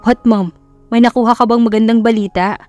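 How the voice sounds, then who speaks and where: A woman's voice: fairly high pitch, steady tone, fairly quick, darkish tone, no room sound, lightly acted. Audiobook role: proud, easily baffled young male boss, Filipino accent, curt orders read by a woman, music underneath. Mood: distressed, demanding. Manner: curt, commanding, switches languages.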